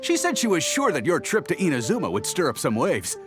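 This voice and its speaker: Eager male sailor, game dialogue, high pitch, hugely animated, admiring, emphatic, music behind. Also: a little fast. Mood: impressed. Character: admiring, hearty male sailor of a ship's crew. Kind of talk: video game voice acting.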